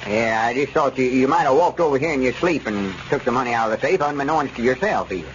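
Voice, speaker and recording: A man's voice: medium pitch, quick pace, a bit bright, close to an everyday voice. Old rural Southern rustic, played by a male actor: trusting, folksy drawl, golden-age radio comedy, dull noisy recording. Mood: puzzled. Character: folksy old country storekeeper turned circus owner, slow and trusting. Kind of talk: 1930s-40s radio comedy acting.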